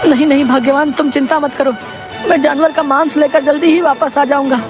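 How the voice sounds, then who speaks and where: A man voicing a timid temple priest and husband in a children's story, anxious and pleading in a squeaky voice. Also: flat delivery, fairly quick, music in the background. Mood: anxious, obliging. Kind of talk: acted children's moral story.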